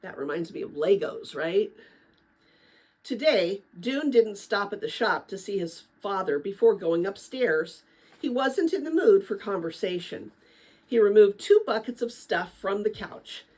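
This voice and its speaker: Female storyteller for middle-grade read-aloud. Animated intonation, husky edge, dramatic but conversational. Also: medium pitch, a little slow. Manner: expressive, chatty when commenting to listeners. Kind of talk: dramatic children's book read-aloud with casual asides.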